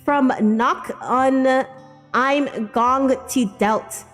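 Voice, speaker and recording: Woman playing an indignant, high-voiced teenage goth girl. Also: fairly even intonation, drawn-out words, in a large hall, faint music behind. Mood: defiant, scolding. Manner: indignant, exclaiming. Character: melodramatic, easily outraged teenage goth girl.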